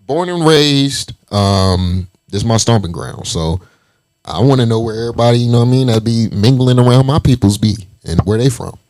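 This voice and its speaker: A man's voice: medium pitch, expressive, normal speed, darkish tone, dry recording. A man from Las Vegas hosting a podcast, talking in a loose, hyped way with profane slang. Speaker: podcast host. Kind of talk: casual podcast interview.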